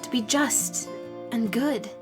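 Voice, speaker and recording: Brief: female actor as earnest teen village girl, highish voice, defiant and challenging, fantasy audiobook over music. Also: slow pace. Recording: music behind the voice.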